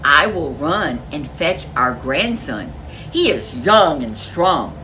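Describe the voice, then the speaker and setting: A woman's voice: deep voice, very animated, slow pace, sharp tone, distant mic, a little hiss or hum, voice pushed down, heavily acted, poor audio. A woman voicing a determined old farmer's wife in a folk tale, speaking emphatically and giving orders. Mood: resolved. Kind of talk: storybook character voice.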